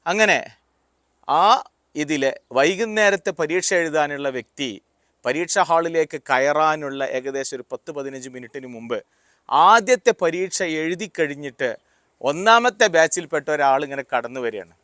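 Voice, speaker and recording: A man giving a motivational talk, explaining deliberately in short, paused phrases. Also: a little high, animated, pushed and bright, no echo, studio quality. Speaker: motivational speaker. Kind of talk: motivational talk with personal anecdotes.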